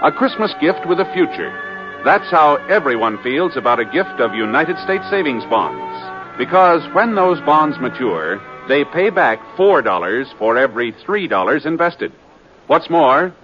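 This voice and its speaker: A man working as a network radio announcer, reading a script in a formal, polished 1950s style. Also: medium pitch. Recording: muffled 1950s radio with music behind.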